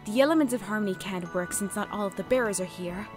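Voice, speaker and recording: A woman voicing a young female pony heroine with a dark past, speaking in a subdued, earnest way in a fan audio drama. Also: mid-range voice, fast, over music, natural voice. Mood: determined.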